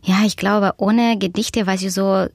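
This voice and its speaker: A woman's voice: mid-range voice, steady tone, unhurried, vocal fry, no room sound. Hesitant woman author, very dry sound. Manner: thoughtful, hesitant with frequent fillers. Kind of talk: interview about her novel.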